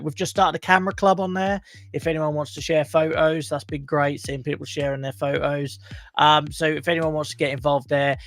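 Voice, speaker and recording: Croaky man hosting a livestream. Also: mid-range voice. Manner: chatty, greets viewers warmly by name. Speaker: livestream talk show host. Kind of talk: casual livestream chat.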